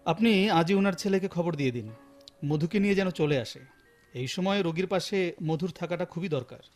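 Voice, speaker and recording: An actor playing a calm, businesslike doctor in a Bengali radio drama, speaking in a measured, authoritative manner. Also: male voice, high voice, soft background music, lightly acted. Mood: reassuring. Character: calm, businesslike male doctor reassuring a relative.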